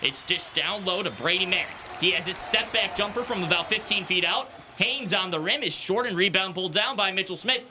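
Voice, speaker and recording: A man's voice: high-pitched, brisk, hardly any pauses, background noise. A young man delivering sports news and live play-by-play commentary as an anchor and commentator. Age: young adult.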